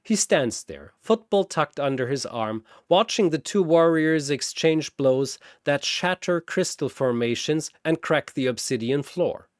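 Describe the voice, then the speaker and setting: Male narrator for battle fiction: theatrical delivery, very animated, close dry sound. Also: on the high side, a little slow, few pauses, dry recording. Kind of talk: dramatic scripted fan-fiction battle narration.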